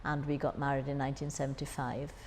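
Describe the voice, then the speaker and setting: A woman's voice: rumbling low, flat delivery, fast, darkish tone. An older woman in her sixties with a Jamaican accent, reminiscing in an oral history interview. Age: 60s. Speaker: interviewee, former overseas student nurse. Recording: echoey hall, faint background noise.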